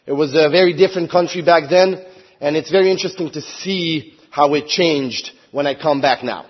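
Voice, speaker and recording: A man's voice: a little high, moderate pace, slightly rough, lo-fi sound. A man from Hungary, a member of parliament, giving an earnest prepared speech at a conference and rising to emphatic appeals.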